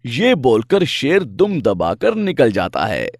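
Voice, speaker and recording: A man's voice: mid-range voice, wildly swooping pitch, slow pace, gravelly, no room sound, studio quality. A man narrating a scripted children's animal fable as a storyteller.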